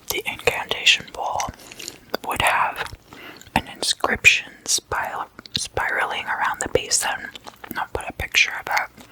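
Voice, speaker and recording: An ASMR host speaking in a breathy whisper, explaining things casually and haltingly in short phrases. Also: low-quality recording.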